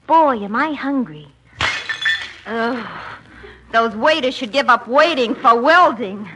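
A woman's voice: a little high, lively intonation, slow pace, background noise. A woman doing a wisecracking comedy monologue on old-time radio, breaking into mock-French singing. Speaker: comic singer. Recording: old radio recording with music.

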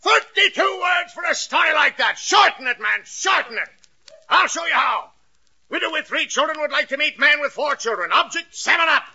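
An actor playing a frantic, absent-minded, bossy newspaper editor with a raspy voice and blustering manner in a 1940s radio comedy. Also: male voice, very high voice, animated, bright, forceful tone, shouting, full character voice. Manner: blustering, rapid, scatterbrained. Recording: muffled old radio recording.

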